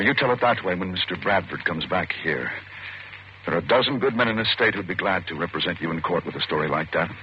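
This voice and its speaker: An actor playing an earnest prosecutor smitten with the accused, speaking insistently in an old-time radio suspense drama. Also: male voice, deep voice. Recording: muffled, noisy vintage radio recording.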